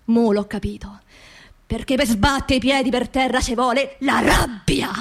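Working-class Roman widow, high voice, raw grief and anger, intimate yet impassioned radio drama monologue in street dialect. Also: female voice, fairly even intonation, normal speed, vocal fry. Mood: grave, restrained. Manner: confiding, impassioned, repeating phrases for emphasis. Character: grieving, angry working-class widow of a construction worker.

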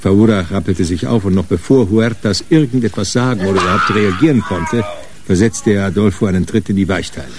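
An actor narrating a hard-boiled radio crime story. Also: male voice, low-pitched, fairly quick, background noise, lightly acted. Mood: tense action.

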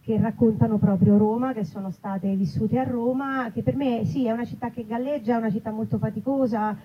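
Higher-pitched woman, live book talk. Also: fairly even intonation, a little fast, husky. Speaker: writer and actress, festival guest. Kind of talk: conversational book presentation and reading. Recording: slightly muffled live event, faint noise.

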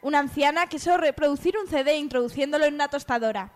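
High-pitched woman on comedy radio. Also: fast. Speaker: radio show newsreader. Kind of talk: scripted mock news bulletin, then group banter.

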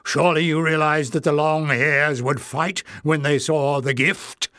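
A man's voice: a little high, slow pace, a bit bright, clear, resonant tone, no room sound, clean studio sound, pitched above the performer's own voice. Man playing a pompous, irritable old goblin official. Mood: imperious. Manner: stern, commanding, scolding.

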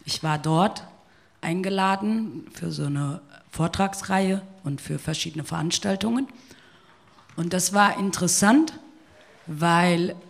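A woman panelist and former interpreter, speaking carefully and deliberately. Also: on the low side. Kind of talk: panel talk.

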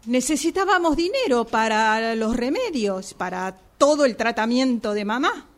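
A woman's voice: fairly high pitch, lively intonation, moderate pace. A woman with an Argentine accent playing a practical, money-minded sister in an amateur radio drama, matter-of-fact and self-justifying. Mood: defensive.

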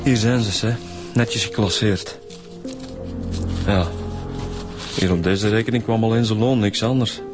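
An actor playing a junior male detective in a Flemish radio serial. His voice sits low, his accent is Flemish and his delivery is casual and slightly hesitant, over clear background music. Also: fairly even intonation, measured pace, long pauses, close to an everyday voice. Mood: curious, casual. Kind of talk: naturalistic radio drama acting.

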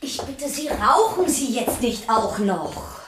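An actress playing a prim, sharp-tongued young hostess in a radio drama, speaking crisply and reproachfully. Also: female voice, a little high, big pitch swings, slow pace, slight background noise.

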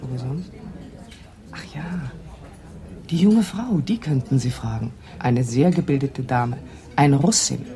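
An actress playing a chatty, helpful café owner in a radio drama, talking in informal fragments. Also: female voice, extremely low pitch, lively intonation, measured pace, pauses often, mellow, exaggerated voice. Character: chatty café owner giving directions to a stranger.

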